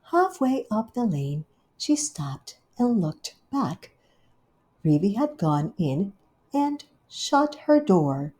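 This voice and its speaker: A woman reading a children's storybook aloud in an unhurried, gently expressive way. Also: mid-range voice, husky. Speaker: storybook reader.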